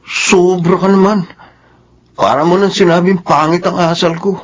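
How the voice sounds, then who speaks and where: A man's voice: sits high, fairly even intonation, normal speed, lo-fi sound, close to an everyday voice. A Filipino man playing a vain, brash and pushy rich young suitor in a radio drama. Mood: indignant.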